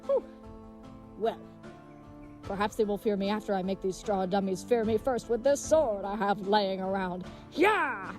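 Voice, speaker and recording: A woman's voice: medium pitch, a little slow, a little mellow, soft background music, close to an everyday voice. Woman playing a gallant, pompous, earnest leathersmith knight. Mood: bold, boastful. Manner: pompous, flowery old-fashioned speech. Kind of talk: comedic audio drama acting.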